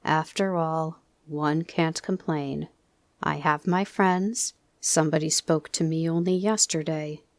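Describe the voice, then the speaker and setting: A woman's voice: low voice, steady tone, dry recording. A woman doing a gloomy old donkey. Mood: mournful. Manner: slow, mournful and pompous. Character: gloomy old male donkey, self-pitying.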